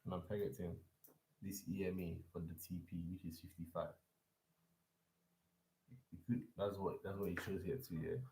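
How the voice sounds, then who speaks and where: A man's voice: low voice, flat delivery, moderate pace, pauses often, mellow, a little hiss or hum. A man live-streaming a forex chart breakdown and talking loosely to his chat, with restarts and repeats. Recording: echoey room.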